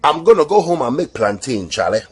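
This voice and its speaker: A man's voice: a little high, sing-song, fairly quick. A man in a played clip ranting heatedly and trading insults.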